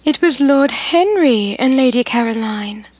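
A woman voicing Amelia, a gentle, excitable older sister, in a bright, lively, higher voice while reading a story. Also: unhurried, no echo. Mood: excited.

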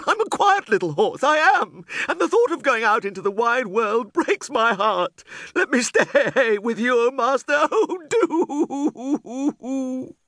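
Storybook role: small wooden toy horse, very high, swooping, tearful, meek and devoted. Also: male voice, expressive, slow pace, few pauses, a bit bright, no echo, studio quality, full character voice. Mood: tearful pleading. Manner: pleading, sobbing, earnest.

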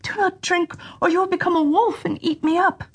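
A woman's voice: extremely high pitch, fairly even intonation, a little fast, voice pushed up. A woman voicing an anxious, tender young girl in a fairy tale, pleading earnestly with her brother. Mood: frightened pleading. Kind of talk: children's fairy tale storytelling.

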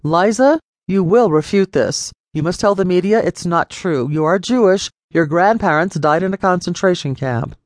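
A woman's voice: on the low side, normal speed, few pauses, no room sound, studio quality, voice pushed up, lightly acted. A woman voicing an angry wealthy father, raised and blustering with threats. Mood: furious.

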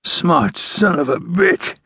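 Western audiobook: wounded outlaw, defiant and sneering, gravelly strain, high-pitched, words dragged out. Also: male voice, no echo, studio quality, exaggerated voice. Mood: grudging, strained. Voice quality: strained, slurred, groaning. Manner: drawn-out, sneering, contemptuous. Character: dying wounded Old West outlaw, bitter and defiant. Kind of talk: western audiobook character acting.

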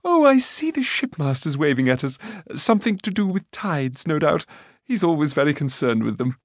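A man's voice: on the high side, big pitch swings, moderate pace, no room sound, studio quality, voice pushed up. A man doing a chirpy, scatterbrained young prince. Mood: breezy. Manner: bright, chattering, breezy. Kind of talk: audiobook character voice.

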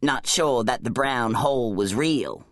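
A woman's voice: a bass voice, expressive, a little slow, dry recording, studio quality, voice pushed down. A woman voicing a small, whiny, childish talking creature, an annoying sidekick in an acted audiobook story. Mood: reproachful. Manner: whining, needling.

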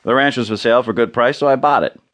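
A man's voice: mid-range voice, racing, fairly bright tone, natural voice. An actor playing a laconic, dry, cynical rancher and father with folksy understatement in a western radio drama. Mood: plainly hospitable.

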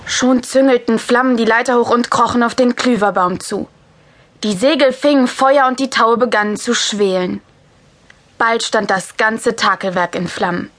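A woman's voice: on the high side, fairly even intonation, moderate pace, a bit bright, natural voice. A woman playing a cheeky child who acts in and narrates a children's sea adventure. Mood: narrating. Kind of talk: German children's audio drama acting and narration.

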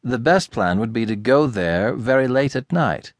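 A man reading a storybook, voicing a calm, modest young American man in a restrained, matter-of-fact way. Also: mid-range voice, moderate pace, no room sound, clean studio sound, natural voice. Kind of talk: audiobook character acting.

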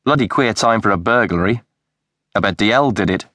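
A male audiobook narrator voicing a blunt, sharp-tongued young woman who is quick to protest. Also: on the low side, no room sound, studio quality. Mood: indignant.

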